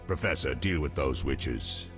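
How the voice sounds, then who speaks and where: An actor playing a cold villain leader in a fantasy game, curt and commanding. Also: male voice, a bass voice, expressive, a little slow, music in the background. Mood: cold, satisfied.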